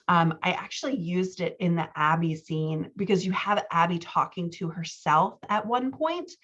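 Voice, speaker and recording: A woman's voice: on the low side, normal speed, fairly bright tone, muffled recording. A woman working as a writing coach and podcast host, giving casual, encouraging feedback in conversation. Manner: encouraging, affirming.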